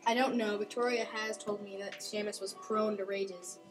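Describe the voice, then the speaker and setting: A woman's voice: mid-range voice, steady tone, normal speed, faint music behind, natural voice. A woman playing witness and medical examiner parts in an amateur old-time radio mystery. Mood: recounting. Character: female witness and medical examiner in a murder case.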